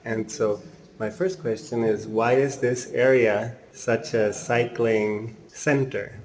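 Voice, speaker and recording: A man's voice: medium pitch, fairly even intonation, slow, darkish tone, slight background noise. A man from Spain, chatting casually and asking questions as a travel podcast host. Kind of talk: casual chat and informal interview.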